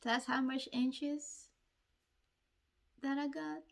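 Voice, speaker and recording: A woman's voice: sits high, little pitch movement, drawn-out words, creaky. A woman vlogger chatting casually with her partner as she hosts a video. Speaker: vlogger hosting a hair video.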